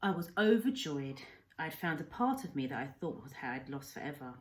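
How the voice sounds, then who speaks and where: Female teacher as storybook narrator, low register, varied intonation, measured and expressive.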